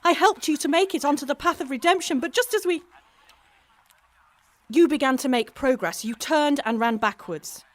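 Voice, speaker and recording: An actress playing a stern, commanding police detective in a radio drama, wounded by betrayal. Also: female voice, high voice, moderate pace, lightly acted. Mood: hurt, angry. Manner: commanding, accusatory.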